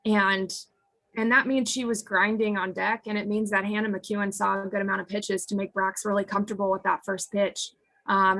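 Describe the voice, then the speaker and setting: Hoarse, husky American woman coach. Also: medium pitch, pushed and bright, rough recording. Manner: earnest, restarts sentences often. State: hoarse from screaming. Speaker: head softball coach. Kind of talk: postgame press conference answers.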